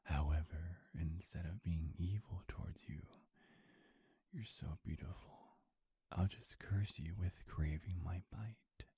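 A man playing a hungry, seductive vampire in an ASMR roleplay, murmuring and whispering close to the mic, breathy and softly menacing. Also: low voice, steady tone, dry recording, exaggerated voice. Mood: possessive, hypnotic. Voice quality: husky, breathy. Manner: slow, coaxing, softly menacing. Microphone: close microphone.

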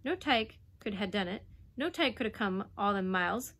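A woman's voice: medium pitch, lively intonation, normal speed, a bit bright. A woman voicing a fierce, desperate young boy speaking broad dialect. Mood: defiant. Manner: stout, defiant, broad dialect.

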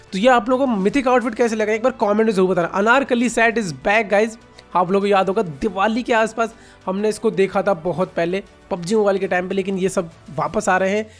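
High-voiced man, Indian accent, gaming host, casual chatty commentary, informal address to viewers, music in the background. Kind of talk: casual reaction commentary on game leaks.

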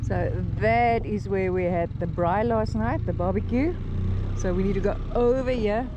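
South African woman vlogging over loud wind. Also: mid-range voice, normal speed, muffled recording. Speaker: sailing vlogger. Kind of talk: casual vlog narration. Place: outdoors on a boat at sea. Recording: windy boat noise, loud background. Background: wind, engine and water noise.

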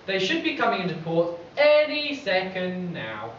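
A pantomime narrator, played on an amateur stage, telling a tale with animated, deliberate delivery. The voice is distant in a very echoey hall. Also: mid-range voice, lo-fi sound, heavy background noise. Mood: storytelling. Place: stage in a large theatre hall. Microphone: far camera.

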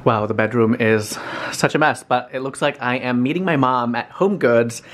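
A young man chatting casually to a handheld camera, gushing excitably over what he finds in a car and in stores. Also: medium pitch, moderate pace. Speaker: vlogger. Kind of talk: casual vlog chat to camera. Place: car, showroom and store, indoors. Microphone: handheld camera at arm's length.

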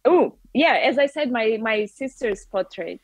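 A woman's voice: a little high, expressive, unhurried, no echo. A woman in her thirties with a Russian accent, chatting as the guest on a video call interview through wireless earbuds, with lots of fillers and laughter. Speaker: artist guest. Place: bright room with a wooden ceiling.

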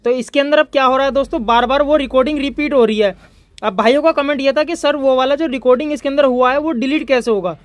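A man's voice: extremely high pitch, quick pace, no echo. A man from India, presenting an informal hands-on electronics tutorial as its host.

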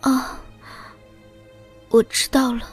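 A woman voicing a meek young princess consort who feigns timid submission in an audio drama, soft and hesitant. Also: slow pace, soft background music, lightly acted. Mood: feigned meekness. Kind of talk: audio drama character acting.

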